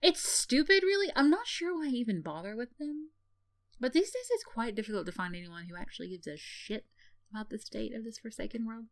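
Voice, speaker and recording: A woman's voice: fairly high pitch, lively intonation, a little fast, no room sound. A woman playing a sardonic tsundere villain in a roleplay ASMR, teasing and condescending with theatrical sighs and scoffs, yet secretly caring. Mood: cynical, earnest.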